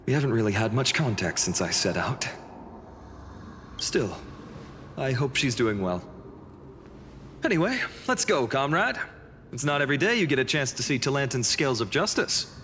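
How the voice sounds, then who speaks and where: Earnest young hero, echoey reverb. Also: male voice, mid-range voice, a bit bright, slight background noise. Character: young male holy city guard, earnest hero haunted by loss. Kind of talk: video game voice acting. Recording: echoey reverb, music behind.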